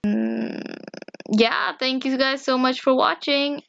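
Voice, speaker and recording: A woman hosting a gaming channel, casually reading out viewer questions and the answers to them, haltingly and with frequent pauses. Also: high-pitched, steady tone, gravelly, a bit bright, highs cut off, slight background noise. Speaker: YouTube gaming channel host.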